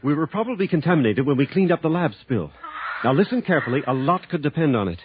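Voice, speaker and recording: An actor playing a calculating, coldly controlled male scientist in a radio drama, deliberate and insistent in how he gives instructions. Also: a little high, animated, close to an everyday voice. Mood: calm, urgent. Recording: dull lo-fi radio drama recording.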